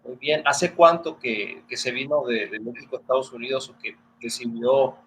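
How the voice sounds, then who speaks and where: A man's voice: mid-range voice, normal speed, a bit bright, slight background noise, poor audio. A man with a northern Mexican border accent interviewing a doctor politely and formally over a video call. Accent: northern Mexican border Spanish. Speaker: interviewer and news presenter.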